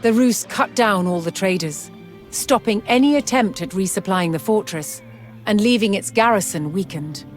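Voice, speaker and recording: A woman narrates in a formal documentary style, as for a historical strategy game. Her mid-pitched voice tells the story in a measured, scripted way. Music plays clearly behind her.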